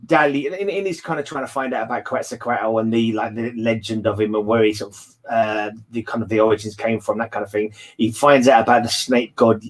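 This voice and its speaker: A British man hosting a casual book discussion, talking in a rambling, halting way and often backtracking. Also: medium pitch, fairly even intonation. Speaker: host of a book read-along discussion.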